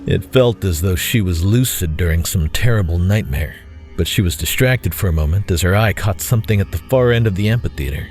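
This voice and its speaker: A man's voice: low-pitched, lively intonation, brisk, a little mellow, faint music behind. A man reading scripted dramatic narration as the narrator of an audio story.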